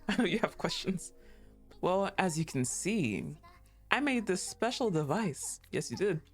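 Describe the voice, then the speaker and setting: A woman reading aloud the lines of a cheerful young female game character, lightly and softly. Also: lowish voice, very animated, measured pace, faint music behind. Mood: sweet.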